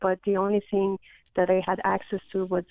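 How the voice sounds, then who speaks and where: A woman from Iran with a Persian accent tells her story earnestly, stopping often on fillers. Her voice is gravelly and stays level in pitch. It comes through a tinny, phone-like channel with a dry sound. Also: monotone, fast talker, no echo. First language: Farsi. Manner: earnest, with many fillers and restarts. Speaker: guest. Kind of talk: interview, personal testimony.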